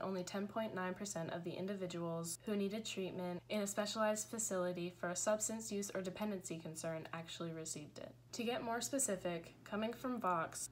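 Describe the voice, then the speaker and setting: A young American woman reading scripted documentary narration and interview questions. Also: medium pitch. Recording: noticeable background noise.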